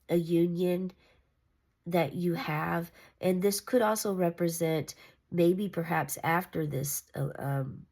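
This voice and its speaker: A woman's voice: sits low, a little mellow. A congested, nasal woman giving a casual, meandering tarot reading. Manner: meandering, reassuring, with fillers and restarts. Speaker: tarot reader.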